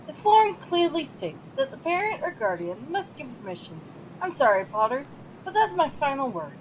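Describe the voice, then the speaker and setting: A woman as strict older schoolteacher witch, high swooping voice, clipped and commanding, audio drama, rumbly noisy home recording. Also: sing-song, moderate pace. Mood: firm, curt. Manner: clipped, authoritative. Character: stern older witch professor, strict and curt. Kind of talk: fan audio drama acting.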